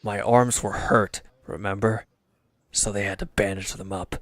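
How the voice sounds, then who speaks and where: A man's voice: on the low side, fairly even intonation, measured pace, a bit bright, dry recording. A man acting in an audio roleplay as a sullen, defensive wounded villain, bitter and withdrawn, speaking in curt, grudging, evasive phrases.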